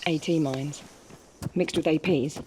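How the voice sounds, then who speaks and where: A woman's voice: deep voice, steady tone, a little slow, a little hiss or hum. A woman voicing an inquisitive journalist who asks polite but pointed questions in a game cutscene. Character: inquisitive female journalist, persistent and precise. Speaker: journalist interviewer. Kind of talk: voiced game cutscene dialogue.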